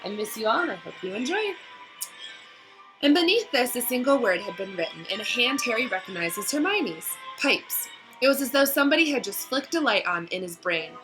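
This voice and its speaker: A woman's voice: fairly high pitch, big pitch swings, brisk, over music, poor audio. A woman reading a children's book aloud, with casual asides to listeners. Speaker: reader of a children's read-aloud, addressing listeners.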